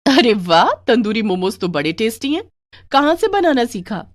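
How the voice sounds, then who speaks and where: A woman's voice: fairly high pitch, sing-song, moderate pace, dry recording, clean studio sound. A woman playing a cheerful, impressed young college student customer in a moral story, enthusiastic in manner. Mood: eager, delighted. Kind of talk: Hindi moral story character voice.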